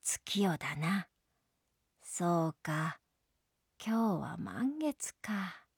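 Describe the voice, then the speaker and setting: A woman voicing a quiet, solitary man in a storybook, murmuring drowsily to himself in wonder. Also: fairly low pitch, very animated, extremely slow, slightly rough, no room sound, clean studio sound, full character voice. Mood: hushed wonder.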